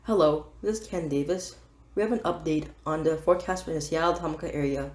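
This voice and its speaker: Rapid, echoing woman as a storm news forecaster. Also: low-pitched, noisy background, close to an everyday voice. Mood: matter-of-fact. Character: news forecaster Ken Davis giving calm storm updates. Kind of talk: scripted news bulletin.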